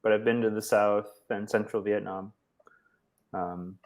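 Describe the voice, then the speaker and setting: A man from the United States, tutoring English over a video call in a relaxed, encouraging way with many short affirmations. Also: fairly low pitch, measured pace. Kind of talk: casual tutoring chat.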